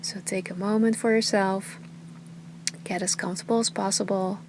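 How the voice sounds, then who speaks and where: Calm lady leading a guided meditation, soft n unhurried, medium pitch, goes breathy at phrase ends, bit of noise behind her. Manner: calm, soothing, unhurried, often trailing into breathy softness. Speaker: yoga and meditation teacher.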